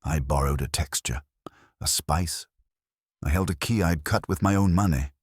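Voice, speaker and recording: A man's voice: a bass voice, normal speed, slightly rough, no room sound, studio quality. A man voicing a suave, vain, theatrical artist and schemer with smug, ornate phrasing, in an audiobook mystery. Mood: defiant.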